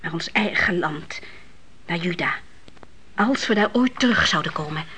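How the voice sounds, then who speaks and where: A woman playing an older widowed mother in a radio play, grieving, solemn and firm in her faith, with a husky, often hushed voice. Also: on the low side, expressive, moderate pace, noisy background, lightly acted. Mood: solemn, concerned. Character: grieving, devout old widowed mother, stern and unyielding in faith.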